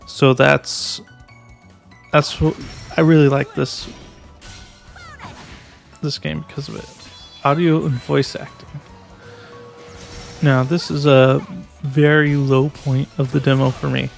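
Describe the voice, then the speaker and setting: Gravelly, flat-voiced young man reviewing. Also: medium pitch, slow pace, long pauses, soft background music. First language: English. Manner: hesitant, frequent restarts. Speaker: game reviewer. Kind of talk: casual video game review.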